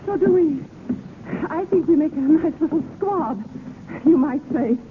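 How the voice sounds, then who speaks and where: An actress playing a gushing, nosy schoolmarm in a 1930s radio drama. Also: female voice, sits high, lively intonation, unhurried. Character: gushing, nosy female schoolmarm attaching herself to a couple. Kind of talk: 1930s radio comedy acting. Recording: tinny old radio broadcast, crowd noise.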